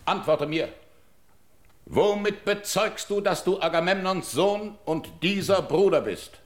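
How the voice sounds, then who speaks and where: A man's voice: high-pitched, a little slow. An actor playing a proud, stern, ageing king who holds back his anger, in weighty declaimed classical verse for radio. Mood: stern, commanding.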